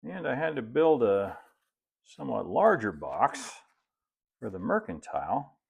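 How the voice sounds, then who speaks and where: A man, narrating a hands-on hobby tutorial in a relaxed, folksy way, as if thinking aloud while he works. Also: medium pitch, measured pace, creaky, breathy edge. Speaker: hobbyist presenter of model railroad build videos.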